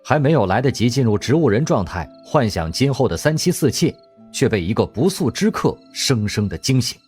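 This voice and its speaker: A man narrating an audio drama, telling the story expressively in a husky voice. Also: medium pitch, big pitch swings, brisk, a little mellow, soft background music.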